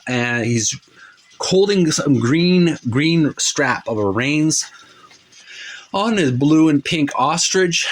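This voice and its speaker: A man with a mid-pitched voice talks casually over faint music, presenting as the host of a show. His commentary is unscripted and descriptive, wandering along haltingly while he feels for the right word. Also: a bit bright. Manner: halting, rambling, searching for words. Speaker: host of a retro video game art book show.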